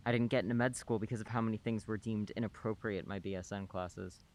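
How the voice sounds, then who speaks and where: An actor playing a polite, soft-spoken male nurse in a radio play, a middle-aged neighbor who talks matter-of-factly. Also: lowish voice, fairly even intonation, quick pace, close to an everyday voice. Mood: calm, friendly. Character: gentle older male nurse neighbor, married, childless.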